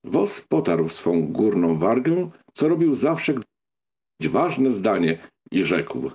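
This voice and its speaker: A man reading literary fiction as an audiobook narrator, in an expressive storytelling style. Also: lowish voice, slow, slightly rough.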